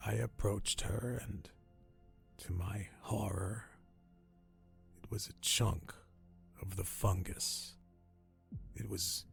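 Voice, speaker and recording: Gravelly, haunted old castaway. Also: male voice, lowish voice, heavily acted. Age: older. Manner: halting, slow, drawn-out dramatic phrasing. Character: dying old castaway man, haunted and horrified. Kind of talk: radio drama storytelling.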